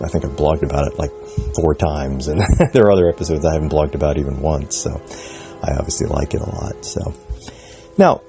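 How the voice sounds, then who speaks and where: Very deep-voiced man, halting and reflective. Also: warm tone. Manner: rambling, hesitant, many fillers and restarts. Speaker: podcast contributor. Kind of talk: casual spoken commentary.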